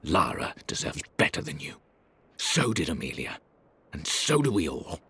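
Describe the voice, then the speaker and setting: A man's voice: a bass voice, very animated, bright, forceful tone, full character voice. An actor playing an embittered, vengeful middle-aged male relative in a video game, reading a letter with formal, biting contempt. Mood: bitter fury. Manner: biting, formal, deliberate scorn.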